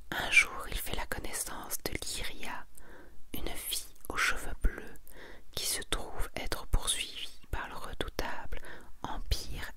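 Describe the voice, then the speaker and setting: Whispering woman doing soft ASMR. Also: mid-range voice. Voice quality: whispered, breathy, almost entirely unvoiced. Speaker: ASMR content creator. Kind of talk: soft whispered ASMR, presenting and reading manga summaries.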